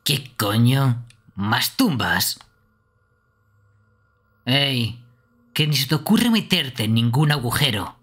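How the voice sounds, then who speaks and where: A man voicing a crude, gruff, foul-mouthed killer with an impatient temper in a fan game dub. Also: medium pitch, expressive, slow, long pauses, a bit bright, heavily acted. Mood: irritated. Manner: gruff, swearing. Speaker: streamer dubbing a game.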